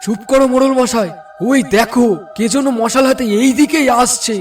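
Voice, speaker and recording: A man's voice: extremely high pitch, steady tone, moderate pace, distant mic, faint music behind. A man voicing a jumpy, watchful village helper in a cartoon. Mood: watchful, excited. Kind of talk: Bengali cartoon dubbing.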